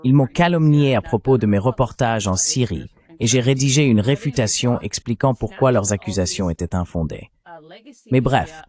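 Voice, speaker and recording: A man's voice: medium pitch, darkish tone. A man speaking as a dubbed interview guest, recounting events at length with indignation.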